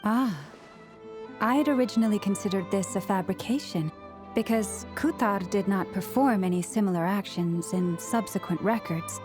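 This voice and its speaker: A woman playing a composed, analytical scholar in an anime game, speaking in a measured, formal way. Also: mid-range voice, over music, close to an everyday voice. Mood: thoughtful.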